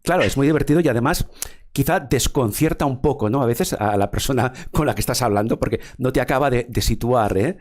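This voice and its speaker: A man's voice: mid-range voice, fairly quick, a little mellow. A man from hosting a formal interview, speaking in a wordy way with frequent fillers and repeated words. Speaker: interviewer, show host.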